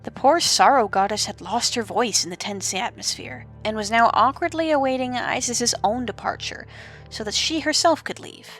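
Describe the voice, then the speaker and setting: A woman narrator telling an audio-drama story in an expressive, suspenseful storytelling manner. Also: fairly high pitch, moderate pace, rough recording. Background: music.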